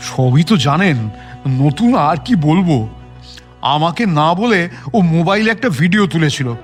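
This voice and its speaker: High-pitched, gravelly male film producer played by an actor. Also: expressive, normal speed, soft background music, close to an everyday voice. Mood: cowed, pleading. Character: blustering, self-important male film producer who turns grovelling. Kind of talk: radio drama acting.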